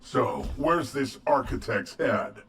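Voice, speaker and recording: An actor playing a big, gruff, unflappable resistance agent in a video game cutscene, blunt and laconic. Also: male voice, medium pitch, lively intonation, slow, fairly bright tone. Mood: easygoing, dry. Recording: music clearly behind dialogue.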